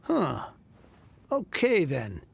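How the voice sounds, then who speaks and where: Creaky, flustered game merchant. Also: male voice, fairly high pitch, wildly swooping pitch, extremely slow, exaggerated voice. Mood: resigned, grateful. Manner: flustered, stammering. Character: anxious, hard-pressed male merchant, grumbling but grateful. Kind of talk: video game voice acting.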